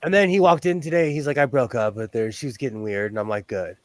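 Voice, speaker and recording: A man in his forties hosting a sewing livestream, chatting casually in a high but loud voice, rambling, laughing often and restarting his sentences. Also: steady tone, quick pace, no echo. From lives on the West Coast of the US. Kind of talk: casual chat while sewing.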